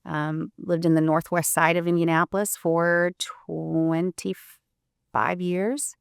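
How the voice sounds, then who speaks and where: A low-pitched woman with a gravelly edge, smooth and resonant underneath, talking earnestly as a guest on an interview podcast. She is in her forties, grew up partly in Puerto Rico, and her thoughts come with frequent fillers and restarts. The recording is close and dry. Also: level tone, slow, no echo. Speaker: attorney, guest. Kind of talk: casual interview conversation.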